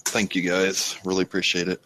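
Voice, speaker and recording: A man's voice: low voice, monotone, brisk, bright, forceful tone, dry recording, rough recording. A man co-hosting a podcast with casual banter. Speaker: podcast co-host.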